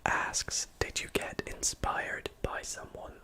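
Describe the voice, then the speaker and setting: Whispering Scottish ASMR streamer. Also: takes it slowly. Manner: soft whisper, reading chat aloud with many fillers. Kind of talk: whispered livestream Q&A.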